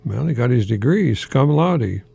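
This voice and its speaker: An older American man hosting a folksy solo radio talk in a halting, rambling, easily amused manner. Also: medium pitch, expressive, creaky, warm tone, husky, no echo. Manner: chuckles often at his own remarks, halting and rambling. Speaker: radio host, longtime English professor.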